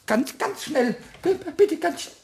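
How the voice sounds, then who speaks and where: A man's voice: expressive, unhurried, very noisy, full character voice. A man voicing a small, cheeky male kobold in a radio play. He stutters, repeats words, whines and makes up little rhymes. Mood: delighted. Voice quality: high, husky, stammering.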